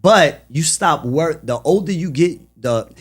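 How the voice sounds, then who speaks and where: A man, talking casually as a podcast guest in an animated, emphatic, slangy way. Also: fairly high pitch, unhurried, husky. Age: 40s. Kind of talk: casual conversational interview.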